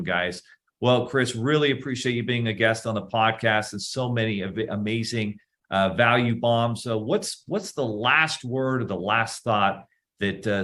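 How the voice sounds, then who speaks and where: A man's voice: on the low side, fairly bright tone. A man hosting a podcast, upbeat and hyping the listeners up. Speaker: podcast host. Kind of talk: casual interview talk.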